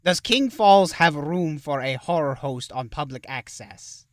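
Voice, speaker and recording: A man's voice: fairly high pitch, lively intonation, unhurried, recorded from a distance, background noise. A man playing a genial, self-important thousand-year-old vampire who hosts an interview show in a theatrical, effusive manner. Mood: genial, playful. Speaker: show host. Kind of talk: in-character interview hosting.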